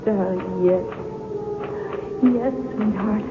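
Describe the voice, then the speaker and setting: A woman's voice: mid-range voice, drawn-out words. An actress playing a dreamy, adoring imagined woman in a radio drama, speaking with breathless adoration. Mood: rapturous. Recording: echoey, muffled radio broadcast with music.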